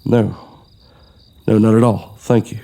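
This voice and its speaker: An actor playing a guarded, determined thief in an audio drama, with a terse, muttering delivery. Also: male voice, on the low side, fairly even intonation, measured pace, creaky, warm tone. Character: tense, desperate male thief, guarded and determined.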